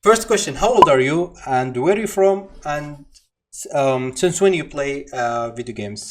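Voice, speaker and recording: A man hosts a casual gaming interview. His voice sits a little high and he talks quickly. He is enthusiastic and agreeable, with plenty of slang. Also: expressive, a little hiss or hum. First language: Arabic. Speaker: interviewer and host.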